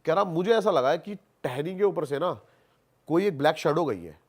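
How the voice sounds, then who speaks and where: A man's voice: a little high, expressive, moderate pace. A man telling stories casually as a podcast guest, colloquial and repeating himself for emphasis, mixing in English words. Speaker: podcast guest, haunted-place investigator. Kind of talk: animated casual storytelling on a podcast.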